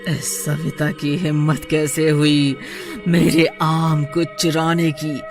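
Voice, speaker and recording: Woman putting on a menacing, slow-talking vengeful witch. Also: deep voice, little pitch movement. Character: vengeful, gloating female witch guarding her mango tree. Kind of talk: Hindi cartoon horror story acting. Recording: music playing behind the voice.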